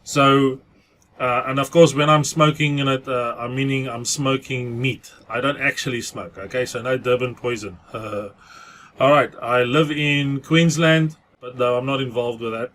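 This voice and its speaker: A man's voice: medium pitch, steady tone, a little slow, a bit bright. South African man chatting on his vlog. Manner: chatty, mixes in Afrikaans phrases. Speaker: vlogger. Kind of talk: casual vlog monologue.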